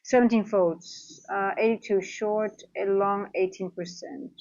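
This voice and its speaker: A woman's voice: mid-range voice, fairly even intonation, a little slow, darkish tone, dull audio. A tired woman from the Netherlands with a Dutch accent, chatting casually through a live trading stream and answering viewers.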